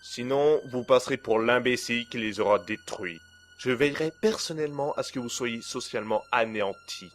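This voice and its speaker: A man playing a cold, menacing blackmailer in an audio drama, speaking in a smooth, condescending and formal way. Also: medium pitch, brisk, slight background noise, close to an everyday voice. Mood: coldly threatening. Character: cold, menacing male blackmailer and hacker, smugly in control. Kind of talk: amateur audio drama acting.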